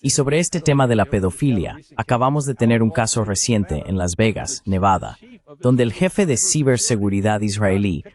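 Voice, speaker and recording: Guy with a lowish voice doing dubbed political commentary, translated voice-over. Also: expressive, normal speed, a little mellow.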